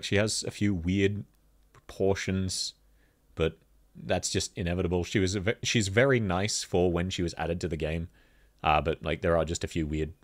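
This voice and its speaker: Aussie guy, thick accent, low-ish voice, casual game chat with lots of laughing. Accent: Australian. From Australia. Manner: chatty, laughs often, lively pitch jumps. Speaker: gaming video host. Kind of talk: casual commentary.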